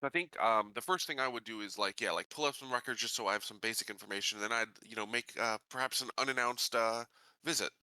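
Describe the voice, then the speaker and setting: Very bright, low-pitched male player. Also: fast, smooth, dry recording, poor audio. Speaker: tabletop game player. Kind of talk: casual planning talk.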